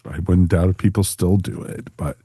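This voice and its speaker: A man's voice: low-pitched, fairly even intonation, normal speed, warm tone, breathy edge, no echo, studio quality. A man chatting casually as a panelist on a podcast. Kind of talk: casual chat.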